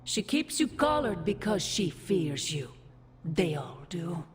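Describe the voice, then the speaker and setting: A woman's voice: fairly low pitch, sing-song, slow pace, faint music behind. An actress playing an ancient, seductive demon mother in a video game, talking in a manipulative, condescending and taunting way. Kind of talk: cinematic video game acting. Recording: long reverb, faint ambient noise.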